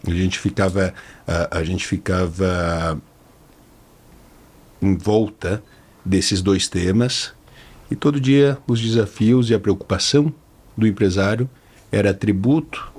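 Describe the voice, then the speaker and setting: A man's voice: deep voice, slow, long pauses, darkish tone, husky. A man hosting a podcast interview, chatty and informal. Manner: chatty, informal, repeats words while thinking. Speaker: podcast host.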